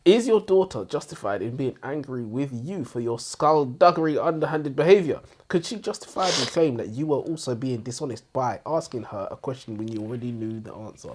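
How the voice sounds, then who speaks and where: A man in a relaxed book discussion, with a very varied, animated pitch. He plays devil's advocate as co-host, putting probing questions and angles in casual, conversational speech. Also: brisk, hardly any pauses, husky. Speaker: book review podcast co-host.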